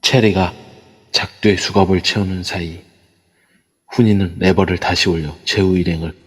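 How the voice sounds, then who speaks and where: Brief: steady male narrator, very low and husky, audio drama. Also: moderate pace. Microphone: phone via a voice chat app. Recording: long reverb.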